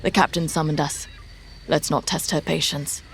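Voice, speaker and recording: Low-voiced, zealous Imperial pilot. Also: female voice, flat delivery, moderate pace, vocal fry, a little hiss or hum. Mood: rallying resolve. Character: loyal, earnest woman Imperial fighter pilot, zealous believer. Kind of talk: scripted video game dialogue.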